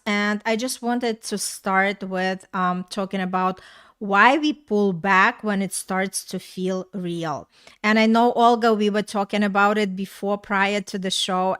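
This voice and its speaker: A woman hosting a relationship talk show, speaking in a reflective, steady way and slowing down to stress her points. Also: medium pitch, clean tone. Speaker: podcast host.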